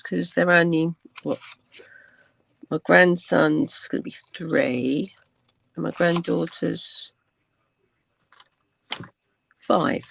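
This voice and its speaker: An older woman with a croaky voice, chatting casually through a craft tutorial, rambling with many ums and dropping to a whisper while she works. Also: fairly low pitch, slight background noise, low-quality recording. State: croaky from cold weather. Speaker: crafting channel host.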